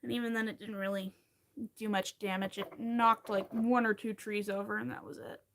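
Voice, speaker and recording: A woman's voice: medium pitch, flat delivery, normal speed, slight background noise. A woman in her thirties from Pennsylvania, chatting casually and rambling with wry asides while she crochets on a livestream.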